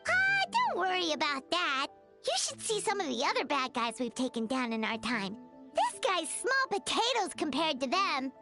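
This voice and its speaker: A woman voicing a tiny, excitable floating girl companion in a game, talking in bouncy bursts with loud exclamations. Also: high-pitched, normal speed, exaggerated voice. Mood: eager. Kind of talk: game cutscene voice acting. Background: faint game music.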